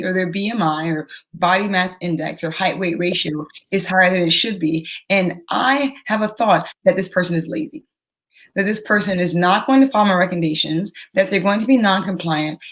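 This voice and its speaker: A woman's voice: mid-range voice, poor audio. A young woman physician giving careful, educational answers as an interview guest. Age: young adult. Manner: measured, educational, careful explaining.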